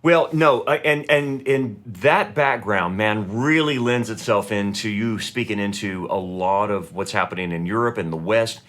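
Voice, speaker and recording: A man's voice: fairly low pitch, a little slow, few pauses, a bit bright. A middle-aged man hosting an interview, asking long friendly questions with frequent restarts. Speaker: host.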